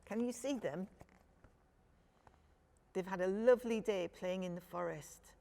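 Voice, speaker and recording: A woman's voice: mid-range voice, a little slow, creaky, mellow. A woman reading a picture book to young children, phrasing things simply and speaking to her listeners directly. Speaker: children's storyteller.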